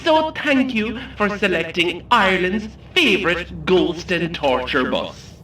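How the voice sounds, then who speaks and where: An actor playing a cheeky, theatrical Irish ghost-tour guide in an audio drama, announcing over a coach microphone with showy, insulting patter. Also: male voice, sits high, lively intonation, measured pace, sharp tone, full character voice. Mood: gleeful showmanship. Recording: echoey on-board PA, slightly distant, faint noise.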